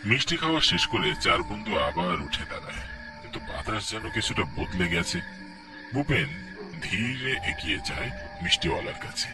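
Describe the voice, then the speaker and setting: Dramatic low-voiced cartoon narrator, man. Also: big pitch swings, normal speed, over loud music. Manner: dramatic, hushed for suspense. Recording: distant mic with noisy background.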